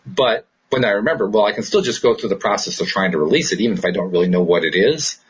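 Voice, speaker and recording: A man's voice: fairly low pitch, lively intonation, quick pace, husky. A man co-hosting a talk show in casual, thoughtful, agreeable conversation.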